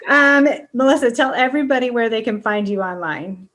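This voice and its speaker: A woman's voice: fairly high pitch, animated, brisk, a bit bright. A woman podcast host and coach giving informal advice in a casual chat, often checking in with the listener. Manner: informal, often checks in with "right?" and "you know".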